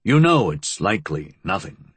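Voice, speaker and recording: A man's voice: low-pitched, sing-song, moderate pace, breathy edge, dry recording, exaggerated voice. A man reading the part of a brusque, impatient male investigator in an audiobook, curt and commanding. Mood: curt, probing.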